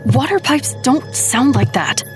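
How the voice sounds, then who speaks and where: An anxious wife and mother in a ghostly audio drama, acted by a woman. Her voice sits fairly high, and light music plays underneath her lines. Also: expressive, a little slow. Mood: nervous, pleading. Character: anxious wife and mother in haunted new house.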